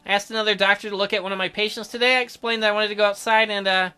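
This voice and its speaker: Guy playing a gentle flustered doctor man in a visual novel, highish bright voice, stammery and hesitant. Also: level tone, racing, smooth. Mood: sheepish. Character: gentle, flustered male doctor, self-described old man.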